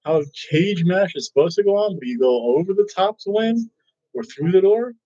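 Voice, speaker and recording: Excitable high-voiced man, lo-fi. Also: fairly quick. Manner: rambling, excitable, restarts sentences. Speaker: wrestling review host. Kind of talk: casual chat. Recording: dull lo-fi recording.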